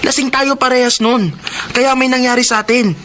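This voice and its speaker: A man's voice: extremely high pitch, steady tone, brisk, a bit bright. An actor with a Filipino accent playing an evasive, callous young man in a radio drama, with a noisy background. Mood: defensive.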